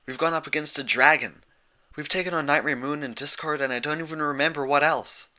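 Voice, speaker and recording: Storybook role: caring but exasperated unicorn mare, insistent, higher-pitched and sharply bright male reader performing. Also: fairly quick. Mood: earnest, pleading. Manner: insistent, pleading, lecturing. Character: earnest, exasperated young female unicorn pony, urgent and caring.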